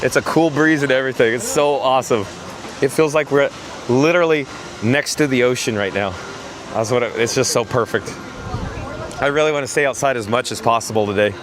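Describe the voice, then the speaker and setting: A hoarse man live-streaming as he walks outdoors through a theme park, chatting and reading out messages from his chat. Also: mid-range voice, a little fast, noisy background. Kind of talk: live-stream walking commentary.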